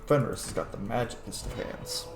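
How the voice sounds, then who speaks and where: A man's voice: mid-range voice, moderate pace, over music. A man giving casual gameplay commentary, playfully talking back to the game's characters. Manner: playful, muttering responses to the game. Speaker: gamer playing along in character. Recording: echoey room with faint noise.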